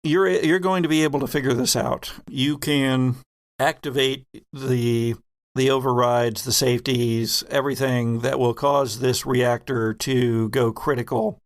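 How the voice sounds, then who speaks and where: A man narrating a tabletop horror game as game master, telling it deliberately with pauses. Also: mid-range voice, steady tone.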